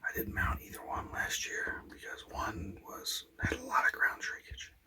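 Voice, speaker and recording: A man whispering a hunting vlog outdoors, hushed, rambling and excited. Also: normal speed. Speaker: hunter vlogger.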